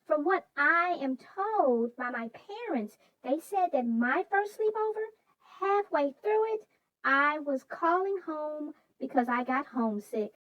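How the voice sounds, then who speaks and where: Children's story sidekick: squeaky high, chirpy, animated, close dry sound. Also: female voice, slow, dry recording, dull audio. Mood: cheerful, chatty. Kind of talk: scripted chat after a children's read-aloud.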